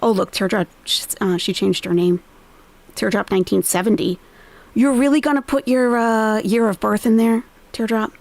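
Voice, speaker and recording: A woman's voice: a little high, fairly even intonation, normal speed, vocal fry, darkish tone, no room sound. An American woman giving blunt, sarcastic commentary on a live stream, scolding the streamer. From United States.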